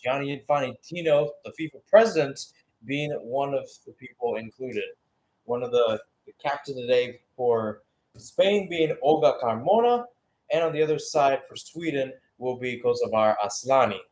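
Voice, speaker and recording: A man's voice: medium pitch, animated, normal speed, darkish tone, rough recording. A man giving live radio-style play-by-play commentary on a football match, announcer-like and speaking in short phrases.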